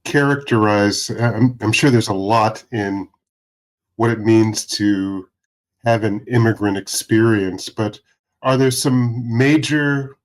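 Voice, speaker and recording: A man's voice: mid-range voice, rough recording. A man co-hosting a conversation, asking careful, probing questions. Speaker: podcast co-host interviewer. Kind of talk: interview questions.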